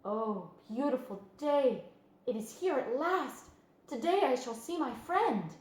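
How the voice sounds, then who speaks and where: Storybook piglet, male, young and excitable, played by a woman. High-pitched, earnest, over-polite delivery; echoey room. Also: slow. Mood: joyful. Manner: eager, over-polite, formally announcing when addressing others.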